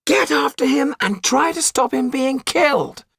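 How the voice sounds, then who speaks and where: A man voicing a weary, urgent little older male companion in an audiobook, with a high, croaky, husky squeak and deliberate delivery. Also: lively intonation, fairly bright tone, dry recording, studio quality, exaggerated voice. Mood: urgent, bossy.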